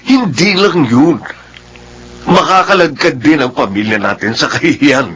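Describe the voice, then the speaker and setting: A man's voice: a little high, animated, measured pace, a bit bright, a little hiss or hum. An actor with a Filipino accent playing an uneasy businessman father in a radio drama, hesitant and placating. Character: uneasy, image-conscious businessman father, placating his wife.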